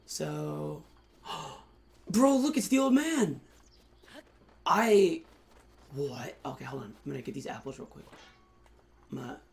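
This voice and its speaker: A hoarse young man in college hosting a casual, excitable let's-play commentary with lots of fillers. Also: a little high. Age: young adult. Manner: excitable, chatty, many fillers. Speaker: gaming channel host.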